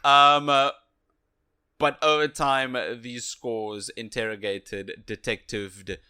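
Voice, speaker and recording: A man co-hosting a casual game review podcast, speaking in a halting, jokey way with frequent repeated phrases. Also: mid-range voice, fairly even intonation, bright, forceful tone, smooth. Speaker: podcast co-host.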